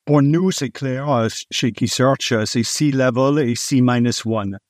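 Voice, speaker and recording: A middle-aged man speaking French with a foreign accent, not his first language. He answers an interviewer at an easy pace, filling pauses with "euh". The sound is dry and close, with no room around it. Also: mid-range voice, no room sound. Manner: frequent hesitations with "euh", warm laughs. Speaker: guest, executive recruiter. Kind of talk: relaxed interview conversation.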